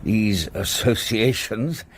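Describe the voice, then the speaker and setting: An actor playing an older, old-fashioned English solicitor in a radio play, speaking in a formal, guarded way with an English accent. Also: male voice, lowish voice, slow pace, a little hiss or hum.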